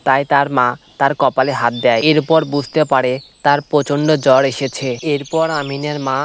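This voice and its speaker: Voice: medium pitch, steady tone, normal speed, slight background noise. A narrator telling a village moral tale for a cartoon in a scripted storytelling style.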